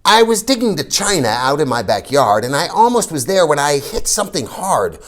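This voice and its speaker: A read-aloud host reading a children's storybook in an animated, expressive style. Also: medium pitch, normal speed.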